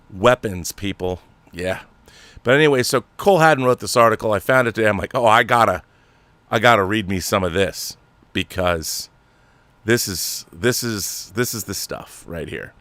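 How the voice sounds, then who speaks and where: A man's voice: medium pitch, a bit bright, dry recording. A man hosting a film commentary show, talking in an emphatic, conversational way with asides. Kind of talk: casual commentary and reading an article aloud.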